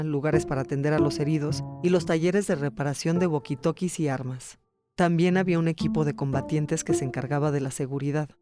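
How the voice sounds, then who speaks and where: A man narrates a documentary podcast. His delivery is scripted storytelling, laid over a clear music bed. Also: fairly high pitch.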